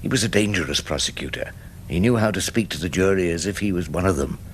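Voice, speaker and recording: An actor playing a wry, shrewd older English defence barrister, husky and dry, narrating his case in a radio drama. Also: sits low, slight background noise, lightly acted. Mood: gloomy, reflective. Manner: dry, sardonic, measured.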